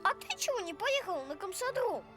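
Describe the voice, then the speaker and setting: A woman voicing a small, stubborn, cheeky little boy in an animated film, childish and insistent. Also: very high voice, fairly quick, over music. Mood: curious.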